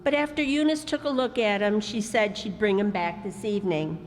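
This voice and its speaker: A woman's voice: medium pitch, normal speed, slight background noise, close to an everyday voice. Brief: a woman doing an upbeat, placating wife for a live radio comedy, hall reverb. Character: cheerful, patient older sister and wife. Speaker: amateur radio actor. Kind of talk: old-time radio comedy acting.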